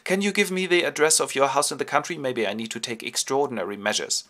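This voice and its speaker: A man's voice: mid-range voice, fast, bright, forceful tone, voice pushed up, natural voice. A man voicing a bold, romantic young woman who speaks gushingly and forthrightly.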